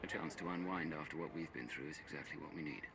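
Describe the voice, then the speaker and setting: An actor playing a resolute male hero in a game, deep and gravelly, with an authoritative manner. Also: quick pace, crowd noise, noisy background. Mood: calm authority.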